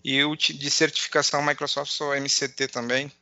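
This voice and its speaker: A middle-aged man with a southern Brazilian border accent touched by Uruguayan, giving an informal online presentation in a halting way, repeating words and checking in often. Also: fairly high pitch, flat delivery, vocal fry, bright, forceful tone, dry recording, highs cut off. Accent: southern Brazilian border accent with Uruguayan influence. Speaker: conference speaker, accountant and data analyst. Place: at home, indoors.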